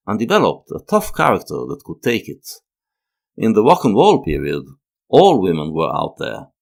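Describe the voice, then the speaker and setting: A man narrating a scripted analytical video essay in a measured, lecturing manner, leaning on key words. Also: deep voice.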